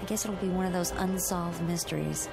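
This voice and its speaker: A woman's voice: lowish voice, steady tone, a little fast, creaky, music in the background. A woman acting in a radio drama as an anxious, stranded young woman, speaking hesitantly and wistfully. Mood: relieved, uneasy.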